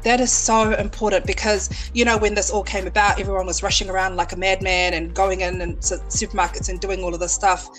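A woman's voice: mid-range voice, little pitch movement, a little fast, hardly any pauses, music in the background, low-quality recording. A woman from New Zealand hosting a podcast, upbeat and enthusiastic, full of effusive praise for her guest. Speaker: podcast host. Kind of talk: casual interview chat.

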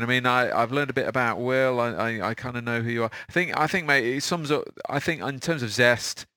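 A man's voice: lowish voice, pushed and bright, dry recording. A man hosting a podcast interview, matey and upbeat, often restarting his phrases. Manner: matey and upbeat, with frequent repeated starts. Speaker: podcast host.

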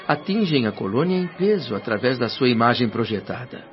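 A man's voice: mid-range voice, sing-song, fairly quick, natural voice. Male actor for curious, amazed newcomer to a spirit world, radio drama; theatrical, swooping intonation, muffled recording. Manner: wondering, questioning, theatrical. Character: awestruck, curious newly dead doctor visiting a spirit city. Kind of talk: Brazilian radio soap acting. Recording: dull radio drama with music behind.

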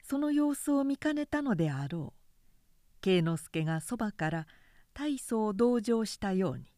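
A woman reading a literary period story aloud as narrator, in a measured, expressive storytelling manner. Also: mid-range voice, no echo, clean studio sound. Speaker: narrator, reciter.

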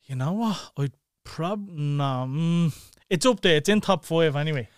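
Man, podcast host, high and smooth voice, swears in casual banter. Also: measured pace, no room sound, studio quality. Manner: swearing, banter, frequent "yeah".

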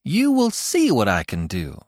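A man's voice: medium pitch, wildly swooping pitch, unhurried, a little mellow, dry recording, studio quality, pitched above the performer's own voice. A man voicing a bossy, spirited young farm girl who takes charge of the kitchen. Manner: commanding, spirited.

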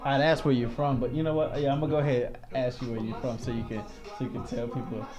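A young man hosts a podcast in an easygoing, jokey way, laughing often and restarting his sentences as he goes. His voice sits at an ordinary middle pitch. Music plays clearly behind him. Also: flat delivery, quick pace. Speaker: podcast host. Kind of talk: casual chat.

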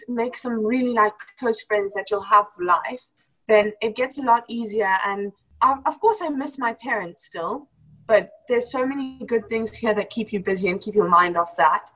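Giggly young woman, high voice. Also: sharp tone, rough recording. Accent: mix of British and South African. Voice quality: giggly, laughs often, many fillers. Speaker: former homeschool student. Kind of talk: casual interview over video call. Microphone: video call.

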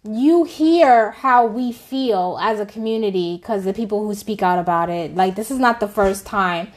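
A woman's voice: mid-range voice, moderate pace. Emphatic woman podcast co-host. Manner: animated, emphatic, repeats points for effect. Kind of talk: casual chat.

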